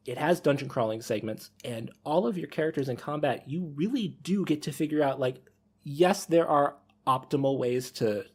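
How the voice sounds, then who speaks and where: A man's voice: medium pitch, darkish tone, breathy edge. A man hosting a casual podcast discussion, rambling and rephrasing as he thinks aloud. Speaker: podcast host.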